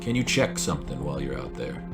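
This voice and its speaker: Very deep-voiced male lookout. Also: very animated, fast, over loud music, full character voice. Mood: puzzled, uncertain. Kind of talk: audio drama acting.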